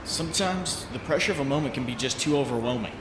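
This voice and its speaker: A man's voice: mid-range voice, lively intonation, fast talker, natural voice. A man voicing a troubled, sardonic young superhero in a comic dub, recorded in a roomy, noisy space. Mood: anxious musing. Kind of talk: comic dub acting with introspective narration.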